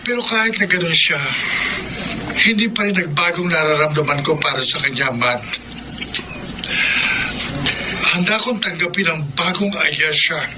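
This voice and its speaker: Actor doing a troubled young guy confiding to his buddy, high pitched, radio drama, noisy background. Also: lively intonation, normal speed, close to an everyday voice. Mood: earnest, resolved. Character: anxious, guilt-ridden young man confiding in his friend. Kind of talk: radio romance drama acting.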